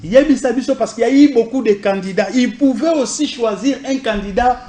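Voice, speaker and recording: A man's voice: very high-pitched, brisk, warm tone, soft background music. A man interviewing a political guest, asking long formal questions with hesitant fillers.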